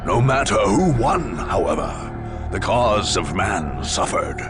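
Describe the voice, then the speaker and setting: An actor playing a grave chronicler of grim future wars, narrating a video game cutscene in a solemn, portentous, gravelly voice. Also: male voice, fairly low pitch, very animated, slow, music in the background. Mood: grave, ominous. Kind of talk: dramatic scripted game narration.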